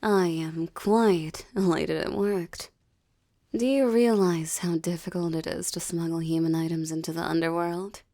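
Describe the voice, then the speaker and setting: Seductive demoness for audio roleplay, acted by a woman: lowish, creaky, ornate diction, teasing and menacing, dry intimate sound. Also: steady tone, mellow, no echo. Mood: haughty. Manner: slow, breathy, formal and ornate diction, teasing. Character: seductive, menacing adult female succubus demon, teasing and possessive. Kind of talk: intimate audio roleplay, ASMR-like monologue addressed to listener.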